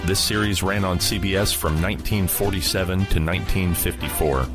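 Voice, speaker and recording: A male podcast host with a very low voice. He reads scripted announcements in a radio style. Guitar music plays clearly behind him. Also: fairly even intonation, brisk.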